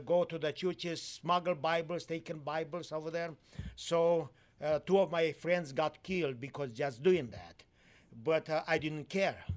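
A Romanian-born man speaking in halting, broken English with many fillers, giving a sermon testimony in a church. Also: on the high side. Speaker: guest preacher.

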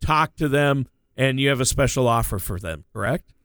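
A man's voice: medium pitch, animated, normal speed, fairly bright tone, dry recording, clean studio sound. A man co-hosting a radio show, upbeat and agreeable, steering the talk with questions. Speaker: radio co-host.

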